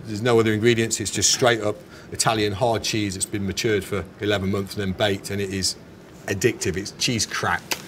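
A man's voice: on the low side, little pitch movement, fairly quick, a bit bright. A man in his forties with an English accent, chatting casually and correcting himself as he answers as an interviewed business founder. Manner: hesitant, chatty, self-correcting. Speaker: snack company founder being interviewed. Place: indoors in a bar. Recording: clear background ambience noise.

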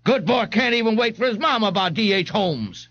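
A man's voice: sits high, lively intonation, fairly quick, sharp tone, muffled recording, voice pushed up, heavily acted. A man voicing an indignant, fussy elderly man in a street crowd.

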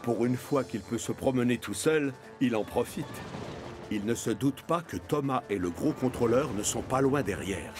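A man's voice: medium pitch, moderate pace. Male storyteller over music. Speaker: narrator. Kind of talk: scripted children's story narration. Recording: music behind the narration.

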